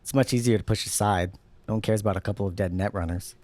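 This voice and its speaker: A man plays a jaded underground hacker in a radio-style drama. His voice sits fairly low and he explains things in a dry, know-it-all way. The sound is tight, with no room on it. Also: fairly even intonation, quick pace, a little mellow, no room sound, close to an everyday voice. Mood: cynical, explaining. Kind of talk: audio drama acting.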